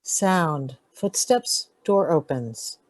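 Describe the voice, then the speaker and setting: A woman's voice: low-pitched, expressive, extremely slow, a little mellow. A woman reading out scripted sound effect cues for a radio play.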